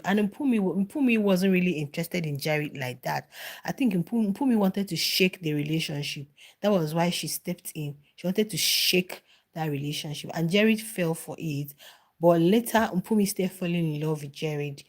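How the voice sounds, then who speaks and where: A woman's voice: on the low side, moderate pace. A middle-aged woman talking casually on a live stream about a reality show, answering viewers' comments and repeating phrases for emphasis.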